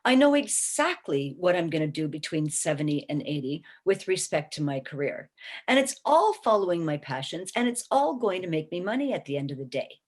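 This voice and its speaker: A woman's voice: on the low side, sing-song, fairly quick, a little mellow. A woman in her sixties speaking on a webinar panel, emphatic and encouraging, often checking in with "okay?". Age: 60s. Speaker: panelist, small business owner. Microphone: video call.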